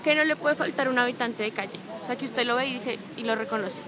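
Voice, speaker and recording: A woman's voice: fairly high pitch, fast talker. A woman, interviewing someone outdoors and rephrasing her questions to probe for answers. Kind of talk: street interview. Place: city park outdoors. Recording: outdoor crowd noise. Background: street crowd.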